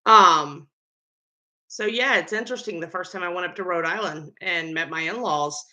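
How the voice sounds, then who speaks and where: A woman's voice: fairly low pitch, normal speed, bright, forceful tone. A tired woman with a Southern American accent, chatting casually to camera on her baking vlog, rambling with lots of ums. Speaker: home baker and vlogger.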